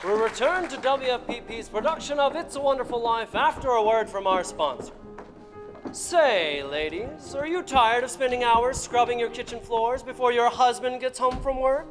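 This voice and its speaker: A man's voice: normal speed, crowd noise. A man playing a peppy 1940s radio announcer with a bouncy, salesy delivery, reading a sponsor ad in a live stage show. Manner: bouncy, salesy, exaggerated pitch. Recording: theater hall reverb with faint music.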